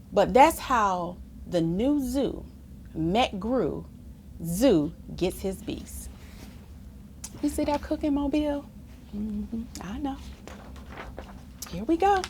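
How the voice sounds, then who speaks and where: Lady doing a kids story read-aloud, really animated and slow, bit of background noise. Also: a little high. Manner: expressive, theatrical, chatty asides to young listeners. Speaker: storybook reader. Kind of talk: animated read-aloud of a children's rhyming book.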